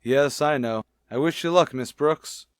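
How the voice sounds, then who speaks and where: An American actor playing a shy, naive bachelor schoolteacher, hesitant and earnest, in a vintage radio sitcom. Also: male voice, mid-range voice, unhurried, smooth, dry recording, studio quality, close to an everyday voice. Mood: flustered, earnest. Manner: hesitant, earnest, literal-minded.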